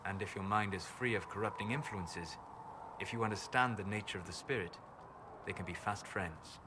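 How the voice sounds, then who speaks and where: An actor playing a calm, scholarly elven mage in a video game, with a measured, precise, philosophical manner. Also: male voice, on the low side, noisy background, close to an everyday voice. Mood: calm, explanatory. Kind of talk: video game dialogue acting.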